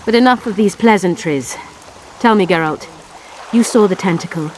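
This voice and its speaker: Haughty sardonic sorceress played by a woman, over rushing water. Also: medium pitch, a little hiss or hum, lightly acted, rough recording. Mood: composed, dry. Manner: formal, dry, imperious. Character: haughty, sardonic adult sorceress, royal advisor. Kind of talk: dramatic video game dialogue. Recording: loud running water behind voice.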